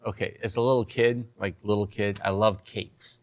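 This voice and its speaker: A man's voice: fairly low pitch, flat delivery, normal speed. A young man as a podcast guest in casual chat, laid-back, answering briefly and laughing easily.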